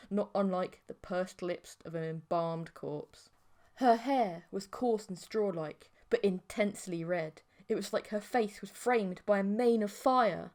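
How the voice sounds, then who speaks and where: A woman reading a scripted story as an audiobook narrator, in a measured, theatrical way. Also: lowish voice, a little mellow.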